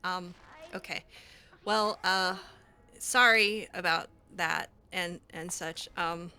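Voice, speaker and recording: Woman, game roleplay, crisp bright tone, unhurried pace, hesitant, awkward, dry reluctance, casual. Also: fairly low pitch, steady tone. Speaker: video game player roleplaying her character. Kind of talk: casual improvised in-character roleplay commentary.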